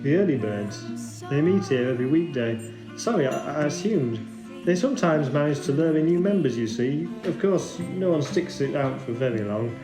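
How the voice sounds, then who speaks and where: Roomy sound with guitar music clearly behind. A man plays a friendly, chatty café server in an audio drama, polite and apologetic, with a slightly high male voice. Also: lively intonation, normal speed, close to an everyday voice.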